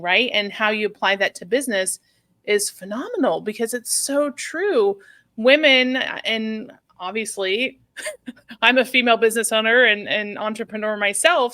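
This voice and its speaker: A woman's voice: mid-range voice, fairly bright tone, no room sound. A woman hosting a podcast, talking in a chatty, rambling way with many fillers. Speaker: podcast host. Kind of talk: podcast interview conversation.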